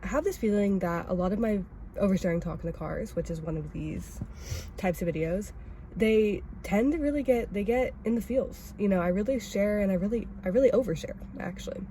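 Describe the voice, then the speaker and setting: A woman's voice: mid-range voice, brisk, mellow, slight background noise. Stuffy-voiced woman rambling in a car. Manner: rambling, self-critical, chatty. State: has a cold, raspy and stuffy. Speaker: vlogger host. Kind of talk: casual oversharing vlog talk. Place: inside a parked car.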